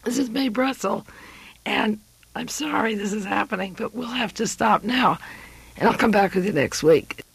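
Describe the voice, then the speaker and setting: A woman hosting a radio show, delivering a rambling political monologue with frequent pauses. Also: mid-range voice, creaky, breathy edge, rough recording.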